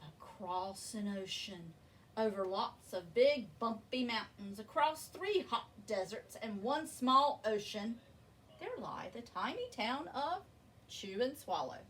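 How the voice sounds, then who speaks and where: A woman with a bright, very animated voice reads a children's story aloud. She speaks expressively and deliberately, stretching key words. She sounds a little distant from the microphone. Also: on the high side. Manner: expressive, deliberate, drawn-out emphasis. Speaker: storybook reader. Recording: distant mic with faint background noise.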